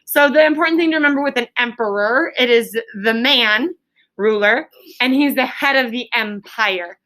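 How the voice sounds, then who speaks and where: A woman's voice: on the high side, moderate pace, bright, forceful tone, rough recording. A woman teaching a classroom reading lesson to children, explaining things simply, repeating key words and prompting pupils with questions. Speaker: elementary school teacher.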